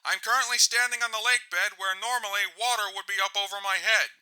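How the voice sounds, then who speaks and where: A man plays an earnest male TV field reporter in an audio drama. His voice is high for a man, very bright and crisp. He reports in a formal, scripted news style. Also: fairly even intonation, brisk, rough recording. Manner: clear, formal reporter delivery.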